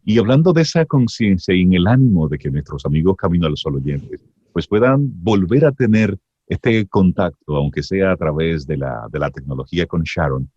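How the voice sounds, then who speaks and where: Deep husky male radio host. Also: normal speed, dry recording, dull audio. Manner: emphatic, expressive. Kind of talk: radio interview hosting.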